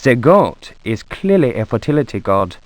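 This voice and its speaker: A man's voice: medium pitch, very animated, normal speed, no echo, close to an everyday voice. A man acting as a learned occult scholar reads a grim treatise for a video game. His delivery is formal and academic, with strongly varied intonation. The recording is dry and close. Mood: grave, scholarly. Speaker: narrator of an in-game book. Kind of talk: scripted dramatic reading.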